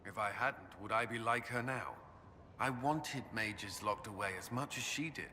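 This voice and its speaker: An actor playing an earnest, guarded military commander in a video game, speaking in formal phrases with a burdened sense of duty. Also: male voice, lowish voice, normal speed, bright, forceful tone, big-room sound, a little hiss or hum. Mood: remorseful, resolute.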